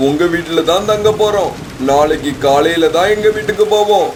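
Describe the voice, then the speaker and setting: A man voicing a sensible, fatherly bird in a cartoon story, speaking in a measured, advising way. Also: a little high, background noise, lightly acted. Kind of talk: Tamil animated moral story acting.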